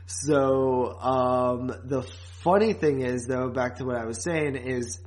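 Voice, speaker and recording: A man's voice: mid-range voice, slow, darkish tone, rough recording. Male podcast co-host, casual and conversational, blunt, rambling, a bit profane. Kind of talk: casual podcast chat recapping and reviewing.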